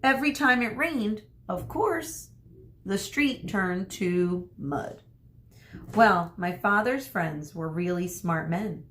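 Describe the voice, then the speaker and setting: A woman speaking as an elementary school teacher, reading a story aloud to children and pausing to explain things. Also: mid-range voice, expressive, a bit bright. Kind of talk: read-aloud lesson for children.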